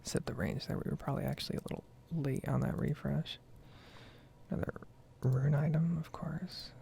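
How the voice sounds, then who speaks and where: A woman speaking in a soft, breathy whisper, rambling casually in an ASMR style as she comments on her own gameplay. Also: low-pitched, fairly even intonation, normal speed. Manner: casual, meandering. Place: basement at home.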